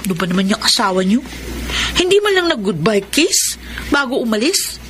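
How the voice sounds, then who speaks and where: A woman's voice: mid-range voice, big pitch swings, normal speed, fairly bright tone. A woman with a Filipino accent playing a grouchy young stepdaughter in a radio drama. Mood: disapproving. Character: grouchy young stepdaughter caring for her sick father. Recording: clearly noisy ambient background.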